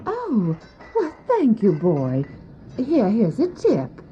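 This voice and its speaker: A woman's voice: fairly high pitch, huge pitch swings, slow pace, a little hiss or hum, heavily acted. A woman playing a kindly, gracious, wealthy lady in an old-fashioned audio drama. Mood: surprised, gracious. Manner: gracious, exclamatory. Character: well-dressed, kindly, wealthy lady in a carriage. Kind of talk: retro audio drama acting. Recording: dull old cassette tape.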